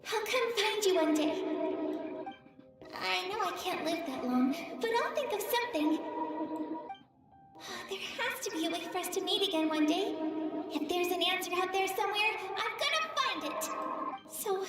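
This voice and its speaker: A woman's voice: very high-pitched, expressive, normal speed, pauses often. A woman voicing a devoted, stubborn young girl, a little sister, in a video game. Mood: determined. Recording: very echoey, distant, music behind.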